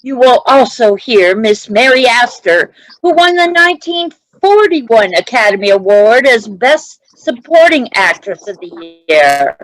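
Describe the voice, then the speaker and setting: A woman's voice: on the high side, sing-song, unhurried, no room sound, rough recording. A woman acting as a formal, ceremonious announcer reading a scripted 1940s radio drama introduction.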